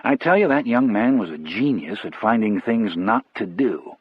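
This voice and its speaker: A man's voice: lowish voice, expressive, moderate pace, husky, no echo, narrow, tinny audio. A man narrating an audiobook in the first person, as a storyteller.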